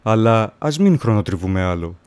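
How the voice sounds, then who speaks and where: A man playing a sly, macabre coroner who hosts horror stories in a theatrical, deliberate manner. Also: fairly low pitch, animated, darkish tone, smooth, close to an everyday voice. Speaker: host of horror story series. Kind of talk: theatrical horror anthology introduction.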